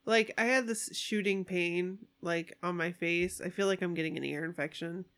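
A woman's voice: fairly low pitch, steady tone, moderate pace, fairly bright tone. A woman with a congested, slightly nasal voice co-hosts a casual podcast chat. She is bubbly and giggles easily. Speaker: podcast co-host.

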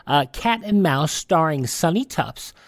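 A man's voice: a little high, expressive, unhurried, no echo, studio quality. A man hosting a radio show with conversational, emphatic and candid commentary.

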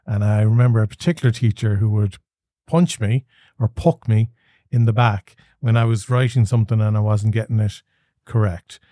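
Warm-voiced Irish man telling a story. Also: fairly low pitch, moderate pace, no echo, studio quality. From Ireland. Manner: thoughtful, with frequent restarts and fillers. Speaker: podcast host. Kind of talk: reflective conversational storytelling.

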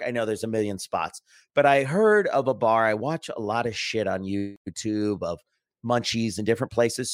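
A man's voice: mid-range voice, dry recording, clean studio sound. A man telling bar stories on a podcast as a guest, in a loose, cursing chat style with frequent laughs. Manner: loose, swearing, laughing storyteller. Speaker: podcast guest, restaurant worker. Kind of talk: casual chat.

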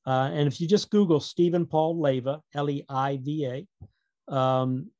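A man speaking casually as an interview guest, in a halting, digressive way with wry humor. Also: a little high, fairly even intonation, darkish tone, no room sound, highs cut off. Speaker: guest author and producer.

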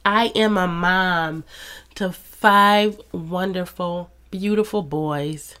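Slow-talking congested woman podcast host. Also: lowish voice, smooth. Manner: warm, chatty and encouraging, with soft laughs. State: congested from a cold. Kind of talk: casual faith podcast monologue.

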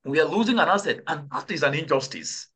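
A man's voice: a little high, animated, quick pace, fairly bright tone, breathy edge. Earnest Cameroonian man, rhetorical questions. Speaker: guest, climate change expert. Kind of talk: podcast interview answers.

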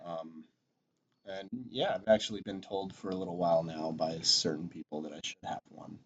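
A man's voice: low voice, steady tone, normal speed, dull audio. A young man co-hosting a casual podcast, laid-back and agreeable with dry humor. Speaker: podcast co-host. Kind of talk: casual chat.

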